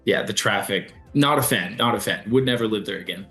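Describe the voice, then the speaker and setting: A man in his thirties from Alberta, Canada, answers questions as a podcast guest. He talks in a relaxed, plainspoken way, and his voice sits low. Faint music plays under him, and he speaks into a studio podcast mic. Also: a little fast. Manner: relaxed, many fillers and restarts, plainspoken. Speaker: sales manager guest. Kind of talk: casual interview answers.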